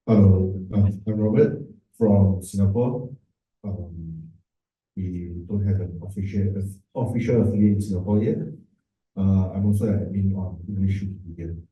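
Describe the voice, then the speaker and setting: A man's voice: low-pitched, moderate pace. A man introducing himself at a conference, plainly and with fillers. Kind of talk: brief self-introduction. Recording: dull, echoey hall, from far off.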